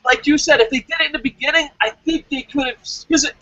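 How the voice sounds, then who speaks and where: Excitable guy, high bright voice, rambling fan talk on a review show. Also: fast talker, no room sound, highs cut off. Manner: animated, rambling, repeats himself. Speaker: co-host of a wrestling review show. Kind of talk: excitable casual fan commentary.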